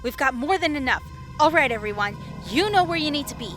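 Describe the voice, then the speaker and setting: A woman's voice: high-pitched, lively intonation, a little fast, big-room sound, music in the background. An actress playing a brash, determined teenage girl who commands her team in an audio drama.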